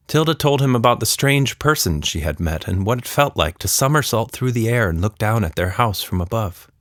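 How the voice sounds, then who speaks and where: A man narrating a scripted children's story as podcast host, with expressive, dramatic delivery. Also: lowish voice, no room sound, studio quality.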